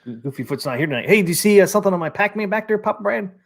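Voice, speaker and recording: A man's voice: sits high, expressive, rapid-fire, vocal fry. A man hosting a casual live stream, chatting and reading out viewer comments. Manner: easygoing, reads out viewer comments.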